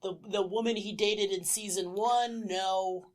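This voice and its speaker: A woman's voice: mid-range voice, steady tone, measured pace. A woman co-hosting a casual comedy podcast, swearing freely and mocking characters. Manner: profane, acts out characters in quotes. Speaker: podcast co-host.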